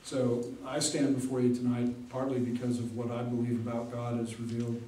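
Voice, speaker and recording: A man, a pastor, giving a casual, conversational talk with many fillers and pauses. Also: mid-range voice, monotone, mellow, a little hiss or hum. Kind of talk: casual lecture with audience Q&A. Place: large hall with audience. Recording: echoey hall from far off.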